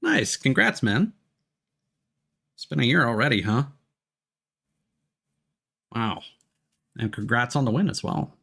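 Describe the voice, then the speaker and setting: A man's voice: mid-range voice, sing-song, slow, gravelly, long pauses, bright, forceful tone, slightly rough. A man giving laid-back, noncommittal commentary while livestreaming a video game. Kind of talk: casual gameplay commentary. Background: game music.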